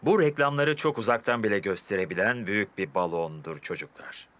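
A man's voice: fairly low pitch, brisk, fairly bright tone, natural voice. A man voicing a kindly grown-up mentor who explains things to children in a dubbed cartoon. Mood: reassuring.

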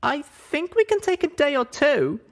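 An earnest young mare from a storybook adventure, as voiced by a male narrator. The voice is pushed very high and swings about, strained and hesitant, with an anxious, rambling temper. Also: huge pitch swings, measured pace, reverberant, distant mic, heavily acted.

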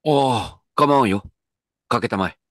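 An actor playing an earnest, dignified company president in a comedic radio drama, with pompous, measured executive speech. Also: male voice, mid-range voice, background noise, close to an everyday voice. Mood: genial.

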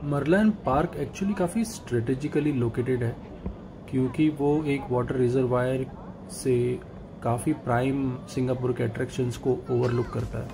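A man narrating his travel vlog in a calm tour-guide manner, mixing in English phrases. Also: mid-range voice, normal speed, vocal fry, background noise. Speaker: travel vlogger. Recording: voice over loud music.

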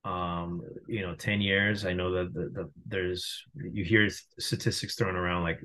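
A man's voice: low-pitched, little pitch movement, a little slow, sharp tone, poor audio. A man in his thirties from Venezuela, a company founder talking on a video call in a casual, reflective way with frequent restarts. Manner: reflective, many restarts and fillers. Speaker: founder and guest. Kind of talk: casual interview talk. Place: home room via video call. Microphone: video call webcam mic.

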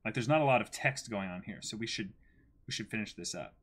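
A man's voice: fairly low pitch, lively intonation, fast talker, fairly bright tone. A man in his twenties co-hosting a live read-along, commenting and reacting casually as he goes. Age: 20s. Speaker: co-host reading a manga for a live stream audience. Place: indoor room against a backdrop.

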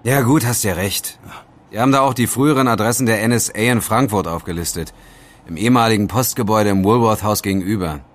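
An actor playing an argumentative young student friend, colloquial and insistent, in a German audio drama. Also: male voice, fairly low pitch, quick pace, lightly acted. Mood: skeptical.

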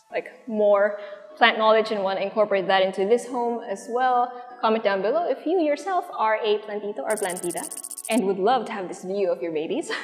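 A young woman with a Filipino accent presenting a house tour in a casual, conversational way, walking through large empty rooms. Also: mid-range voice. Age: young adult. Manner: chatty, conversational. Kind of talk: casual real estate walkthrough presentation. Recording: long reverb of an empty room. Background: music.

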